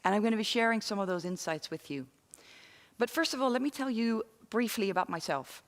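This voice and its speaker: A woman in her forties from London and the Netherlands giving a talk to an online audience, pausing often as she speaks. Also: medium pitch, expressive. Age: 40s. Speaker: guest speaker. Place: studio with very few people present. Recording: reverberant studio hall.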